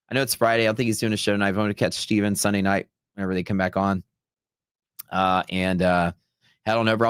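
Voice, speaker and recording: A man with a deep voice chats casually as a livestream host. The sound is dry and close, and his delivery is loose, slangy and rambling, like off-the-cuff storytelling. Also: fairly bright tone, no echo. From Texas, United States. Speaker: livestream host, futures trader.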